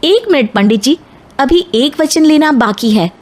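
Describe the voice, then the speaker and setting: Woman plays determined young daughter in a storybook tale; high register, animated, firm. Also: a little slow. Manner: protesting, firm. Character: young educated bride, outspoken and determined daughter.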